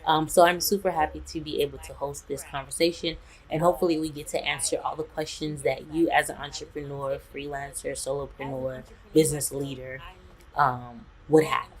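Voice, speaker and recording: Low voiced woman hosting a webinar, super excited and chatty. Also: fairly quick, poor audio. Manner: enthusiastic, chatty. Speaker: webinar host.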